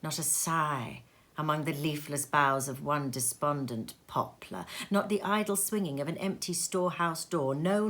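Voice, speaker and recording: A woman narrating a classic story aloud in an expressive, dramatic storybook style with deliberate phrasing. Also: low-pitched. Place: sitting room at home.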